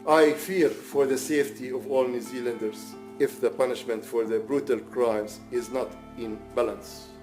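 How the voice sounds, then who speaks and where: Solemn man, mellow voice, reading a statement. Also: mid-range voice, steady tone, soft background music. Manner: solemn, measured, formal. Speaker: bereaved father reading a victim impact statement. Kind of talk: prepared statement read aloud.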